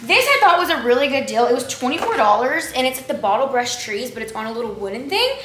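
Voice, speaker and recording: A woman's voice: high voice, big pitch swings, fast, a bit bright. A young woman, chatting to camera as a vlogger in her home kitchen, rambling with lots of "like" and "um". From Texas. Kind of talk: casual haul chat to camera. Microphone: camera on the counter at a distance. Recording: echoey kitchen with clear background noise.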